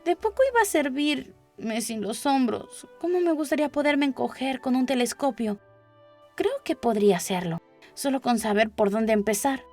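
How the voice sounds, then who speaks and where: Casting: woman to play a curious storybook little girl, high voice, self-talking and self-scolding, music underneath. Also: normal speed, a little mellow. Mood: wistful. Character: curious little girl Alice, thinking aloud to herself. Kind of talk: storybook character voice.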